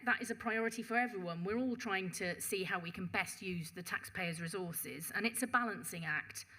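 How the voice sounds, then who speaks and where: A woman presenting a budget proposal to a council panel in a measured, formal address, reading out figures with occasional restarts. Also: mid-range voice, bright, forceful tone. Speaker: police and crime commissioner presenting a budget. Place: council meeting chamber.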